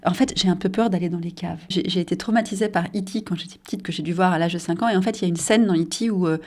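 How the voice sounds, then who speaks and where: A woman's voice: lowish voice, racing, darkish tone. A woman novelist in her forties from the Paris suburbs, speaking in an interview, thoughtful and hesitant with frequent fillers. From Paris suburbs, France. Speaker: novelist and journalist.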